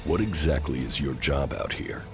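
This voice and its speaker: A man playing a gruff, stoic exiled military commander in a video game, speaking tersely and sternly with a gravelly voice. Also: animated, moderate pace, reverberant, music in the background. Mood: terse, guarded. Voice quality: deep gravelly voice. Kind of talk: video game cutscene acting.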